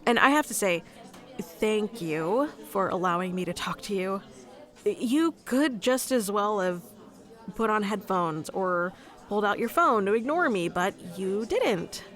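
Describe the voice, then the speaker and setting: A woman's voice: medium pitch, lively intonation, a little slow, a bit bright. A woman playing a shy, nerdy young writer in a conversational ASMR roleplay, rambling in a hesitant, giggly, self-conscious way. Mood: earnest, self-conscious.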